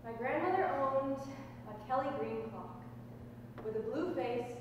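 A young woman performing spoken word poetry on a small stage, speaking deliberately and dramatically with pauses. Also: high-pitched, expressive. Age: young adult. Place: small dark stage indoors. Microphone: distant camera microphone. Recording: echoey hall, distant mic, noisy room tone.

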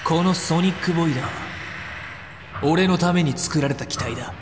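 An actor playing a cocky, brash young robot pilot with swaggering, rough speech in a radio drama. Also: male voice, a little high, normal speed, noisy background, natural voice. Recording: reverberant, music behind.

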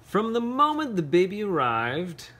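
Male storyteller, lively and unhurried, playful read-aloud for kids with chatty asides. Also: high voice. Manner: playful, pauses to ask questions. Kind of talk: animated picture-book read-aloud with casual asides to children.

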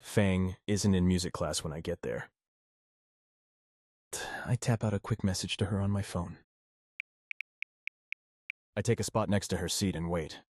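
A man's voice: low voice, measured pace, long pauses, darkish tone. A man playing an awkward, wry teenage boy in a visual novel, both narrating and speaking in dialogue.

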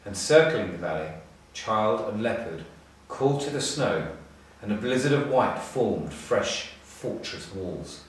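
Heard from some distance in a very reverberant room, a middle-aged man reads a storybook aloud. His voice sits a little low. He phrases the scripted text expressively, with measured pauses. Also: animated. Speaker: storybook reader, school staff. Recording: echoey room, distant mic.

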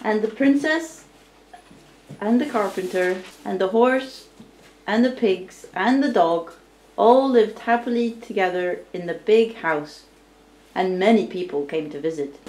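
A woman tells a storybook tale at an unhurried pace. Her delivery is deliberate and theatrical, at a middling pitch. The recording is roomy and a little distant. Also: expressive, background noise. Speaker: storyteller narrating a puppet show. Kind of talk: live storybook narration.

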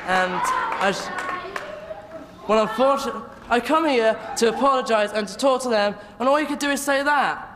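Young male contestant, very high voice, aggrieved and defensive, noisy audience setting. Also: brisk, crowd noise. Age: young adult. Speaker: talent show contestant. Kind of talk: emotional unscripted talk. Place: large theatre stage and backstage corridors. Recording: noisy theatre with audience noise.

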